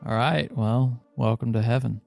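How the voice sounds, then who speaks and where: A man playing a gruff, world-weary dreamer in tabletop role-play. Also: medium pitch, fairly even intonation, normal speed, dry recording, lightly acted. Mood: weary resolve. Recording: loud music bed behind voice.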